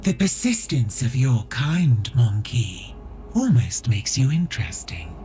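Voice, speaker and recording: A man playing a disdainful, sly alien commander in a video game, with scornful, deliberate, insinuating speech. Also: medium pitch, very animated, dark tone, a little hiss or hum. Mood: mocking. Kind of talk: dramatic video game acting.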